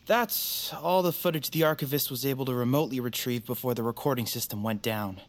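An actor playing an earnest young male leader of gifted teens in conversational audio fiction, with loud music behind him. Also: mid-range voice, a little fast, slight background noise, lightly acted. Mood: earnest, measured.

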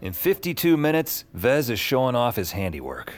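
A man's voice: mid-range voice, huge pitch swings, moderate pace, music in the background. An actor playing a weary, wry young man who narrates and lives his own supernatural adventure in an audio drama. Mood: narrating, wry.

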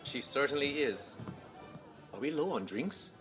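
Actor as genial dad in his 50s, wry, swingy pitch, music behind, audio drama. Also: lively intonation, unhurried, close to an everyday voice. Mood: interested. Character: genial, proud father in his fifties, scientist. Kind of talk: naturalistic scripted audio drama.

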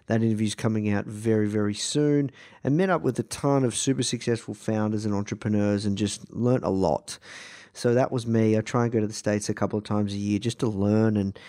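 An Australian man with a slightly croaky voice, introducing a podcast episode in a casual, enthusiastic, informal way. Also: lowish voice, mellow. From Melbourne, Australia. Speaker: podcast host.

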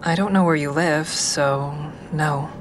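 A woman's voice: low-pitched, measured pace, slight background noise. An actress playing a guarded, introspective woman who narrates her own story in an audio drama, with wry understatement. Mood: careful, reassuring. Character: guarded woman, a stand-up comic and crisis-line volunteer, narrating. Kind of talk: first-person audio drama narration and dialogue.

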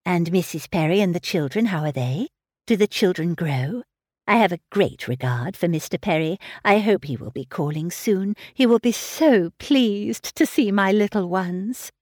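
A woman reading a soft-spoken young mother. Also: lowish voice, lively intonation, moderate pace, no echo, studio quality. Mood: polite. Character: gentle young mother, dutiful married daughter. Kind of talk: audiobook character reading.

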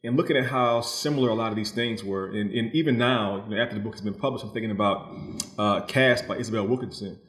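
A man from New Orleans speaking as a guest novelist, explaining his thinking in a measured, reflective way, with a roomy echo on his voice. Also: lowish voice, a bit bright. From New Orleans, United States. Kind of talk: reflective interview conversation. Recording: roomy, echoing sound.

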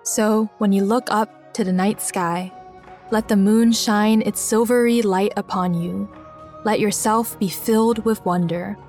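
A woman narrates a scripted children's story in a measured, clearly enunciated way. Her pitch sits in an ordinary middle range for a woman, with soft music underneath. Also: steady tone. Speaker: narrator of a children's biography podcast.

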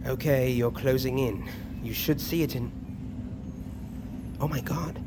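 A man's voice: mid-range voice, steady tone, measured pace, background noise, lightly acted. An actor playing a wry, irreverent expedition crewman who talks hesitantly and jokingly in an audio drama. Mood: intrigued. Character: wisecracking, irreverent male expedition crew member. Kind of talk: naturalistic audio fiction acting.

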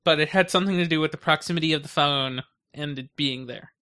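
A man's voice: on the high side, fast, pushed and bright. A man co-hosting a podcast in casual chat, telling things in a rambling, conversational way. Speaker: podcast co-host.

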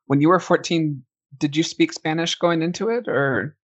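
A man's voice: a little high, normal speed, muffled recording. A man hosting an interview, asking friendly, encouraging questions. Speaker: interview host.

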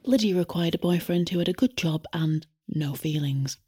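A woman with a husky voice, narrating a scripted satirical story in a deadpan, matter-of-fact way. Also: on the low side, normal speed. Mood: wry, deadpan. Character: wry female storyteller narrating a satirical tale.

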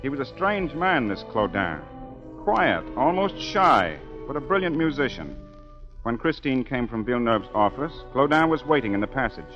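An actor playing a solemn storyteller who narrates deliberately in the scripted style of 1940s radio drama. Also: male voice, mid-range voice, big pitch swings, natural voice. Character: smooth, solemn male storyteller recalling a tragic tale. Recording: dull old 1940s radio broadcast. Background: music.

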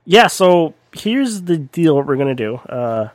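Podcast guy getting over a cold, bit raspy, rambly, dry close mic. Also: fairly high pitch, sing-song, a little slow, no room sound. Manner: rambling, many fillers and restarts. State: recovering from a cold, slightly raspy. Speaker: podcast co-host. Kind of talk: casual sports podcast chat.

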